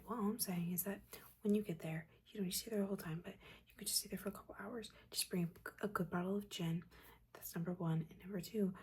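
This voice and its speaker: A woman voicing a judgmental but caring witch mentor in a breathy, chatty, chiding whisper, in a soft-spoken ASMR roleplay. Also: fairly low pitch, slight background noise, natural voice. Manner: chatty, chiding, casual slangy asides.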